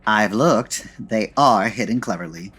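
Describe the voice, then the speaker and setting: Casting: suave gentleman sleuth, lowish husky voice, dry wit, comic radio mystery. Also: expressive, measured pace, heavily acted. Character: suave, wry male gentleman detective. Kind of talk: comedic old-time radio detective drama acting.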